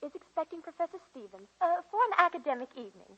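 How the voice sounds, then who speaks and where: A woman's voice: very high-pitched, very animated, fast talker, noisy background. An actress playing a pert, teasing young college girl with a breezy, mischievous manner in a 1940s radio drama. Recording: muffled vintage 1940s radio broadcast.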